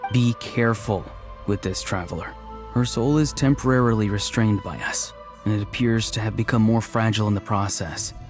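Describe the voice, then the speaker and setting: An actor playing a careful, apologetic young male mystic in a video game, speaking politely and gently in measured phrases. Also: on the low side, soft background music, close to an everyday voice. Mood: careful, pleading.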